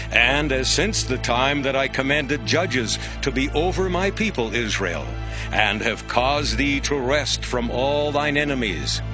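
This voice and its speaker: A man playing a solemn, authoritative prophet in a dramatized audio Bible, with measured, declamatory delivery. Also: medium pitch, natural voice. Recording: clear music bed behind voice.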